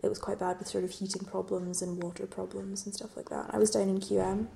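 Young woman from the western isles, gravelly and flat, mellow tone, faint hiss. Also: medium pitch, quick pace. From Western Isles, Scotland. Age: young adult. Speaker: university student. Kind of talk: casual chat.

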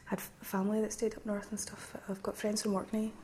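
A woman in her twenties with a Glasgow accent, a university student chatting casually, often pausing with fillers. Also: medium pitch, little pitch movement, dark tone, slight background noise. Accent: Glaswegian. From Glasgow, Scotland. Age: 20s. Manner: chatty, hesitant with fillers and restarts, laughs easily. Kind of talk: casual chat.

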